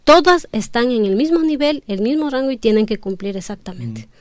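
A woman with a smooth, clear voice pitched a little high speaks as a guest on a panel. She is from Ecuador, her first language is Quichua, and she explains her points earnestly. The sound is dry and close. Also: very animated, fairly quick, no room sound. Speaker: academic guest. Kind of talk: conversational panel discussion.

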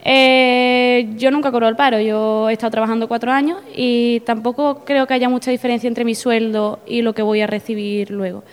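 A woman's voice: on the high side, flat delivery, normal speed, few pauses, smooth. A young woman, answering interview questions in a friendly, slightly hesitant way. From Spain. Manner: friendly, a bit hesitant, repeats words. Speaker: exchange student guest.

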